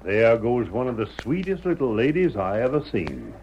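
A courtly old cowboy veteran in a radio western, folksy and flowery in speech. The recording is muffled and clearly noisy. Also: male voice, mid-range voice, big pitch swings, normal speed, a little mellow. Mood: genial, admiring.

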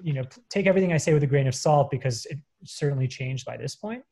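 A young man of background telling about his finance career in an interview, pausing often with fillers and restarts. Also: medium pitch, warm tone. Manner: hesitant, many fillers and restarts. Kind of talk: conversational interview.

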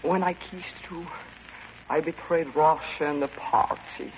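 An actress playing an earnest, deadpan Soviet woman envoy who softens into romance, in an old-time radio drama. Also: deep voice, measured pace. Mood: tipsy, romantic. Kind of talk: 1940s-style radio play acting. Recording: muffled, noisy old radio broadcast.

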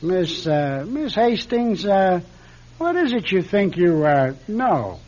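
Husky, irritable, secretive recluse. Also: male voice, sits high, sing-song, slow pace, darkish tone, heavily acted. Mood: wary. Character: secretive, irritable male recluse, curt and sarcastic. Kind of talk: radio drama acting. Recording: dull, lo-fi radio drama recording.

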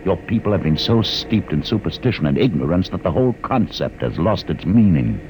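An actor playing a calm, condescending older man who holds a prisoner aboard a starship, speaking in a measured, commanding way in an old radio drama. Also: deep voice, low-quality recording. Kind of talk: 1950s radio drama acting. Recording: vintage radio broadcast with hiss.

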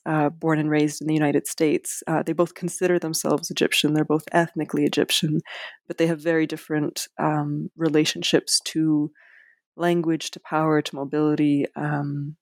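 A woman's voice: low voice, darkish tone, no room sound, clean studio sound. A woman author speaking in an interview, hesitant, with many fillers and restarts. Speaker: author guest. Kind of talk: casual interview.

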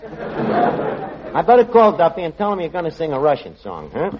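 A man's voice: fairly high pitch, huge pitch swings, normal speed. A streetwise New tavern manager who schemes in slangy, mangled grammar, his voice bouncing up and down. The sound is a dull old radio broadcast with a faint audience and hiss behind him. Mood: scheming. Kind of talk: 1940s radio comedy acting.

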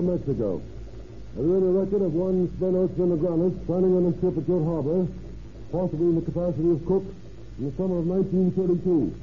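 An actor playing a determined, plain-spoken American detective chief in an old radio drama, brisk and businesslike. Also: male voice, fairly high pitch, natural voice. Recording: tinny, noisy old radio broadcast.

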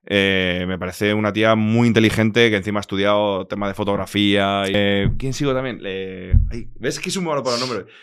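Un hombre joven de Madrid, con acento madrileño, charlando de manera informal como invitado de un pódcast; habla coloquial y suelta "tío" a cada rato. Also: male voice, fairly low pitch, fairly even intonation, a little fast, a bit bright, smooth. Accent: Madrid Spanish. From Madrid, Spain. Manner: casual, slangy, says "tío" constantly. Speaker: podcast guest, content creator. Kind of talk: casual chat.

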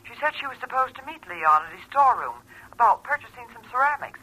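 A woman's voice: fairly high pitch, very animated, fast, sharp tone, breathy edge, exaggerated voice, rough recording. An actress playing a polite, concerned well-to-do lady in a 1940s radio drama. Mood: worried.

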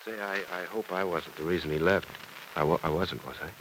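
An actor playing a good-natured young suitor in an old radio serial, courteous, teasing and a little halting. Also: male voice, sits low, a bit bright. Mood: earnest, concerned. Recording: noisy vintage radio recording.

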